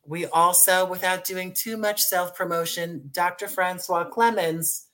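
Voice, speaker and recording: An American man talks as a guest in a conversational interview. His voice sits fairly high for a man, and his phrasing is effusive, grateful and playful. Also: steady tone, unhurried, pushed and bright. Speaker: guest, audio drama writer and producer.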